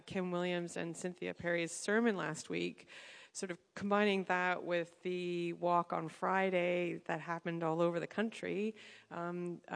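Gravelly woman in an echoey church hall. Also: fairly low pitch. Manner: hesitant, thoughtful, many fillers. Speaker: church leader leading a sharing time. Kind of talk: casual congregational talk.

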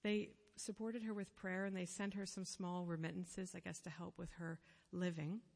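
A woman's voice: on the low side, flat delivery, creaky, darkish tone. Woman preaching in an echoing hall. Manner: earnest, measured, pausing phrase by phrase. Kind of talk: sermon storytelling. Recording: long reverb of a hall.

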